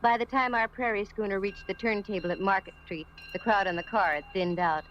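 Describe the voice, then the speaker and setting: A woman's voice: medium pitch, steady tone, a little fast, fairly bright tone, natural voice. An actress playing a wry, worldly female private eye, narrating and talking in mid-century radio drama style. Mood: wry, breezy. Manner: wry, sardonic, theatrical delivery. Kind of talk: 1940s-50s radio drama acting and narration. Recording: muffled, noisy old radio recording.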